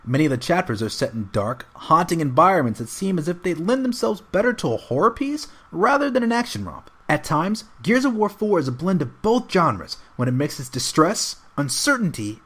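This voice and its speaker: Lively, higher-pitched male review narrator. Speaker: voice-over narrator. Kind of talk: scripted video game review narration.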